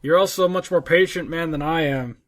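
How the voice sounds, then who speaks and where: A man's voice: a little high, fairly quick. A man co-hosting a casual, conversational chat about video games. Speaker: podcast co-host.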